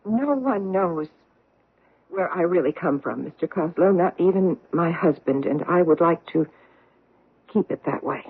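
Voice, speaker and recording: A guarded American society wife in a radio mystery. Her voice swings widely in pitch and has a slightly rough, husky edge. She is clipped and defensive, heard through a muffled old broadcast. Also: animated, unhurried, full character voice. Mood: uneasy, guarded. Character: guarded, proper married society woman hiding her origins. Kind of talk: radio mystery drama acting.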